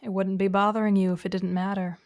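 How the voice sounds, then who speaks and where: A woman playing a composed, gently corrective therapist in an audio drama, speaking in a measured, patient, questioning way. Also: medium pitch, level tone, no room sound, studio quality, natural voice. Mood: concerned, probing. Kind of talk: naturalistic audio drama dialogue.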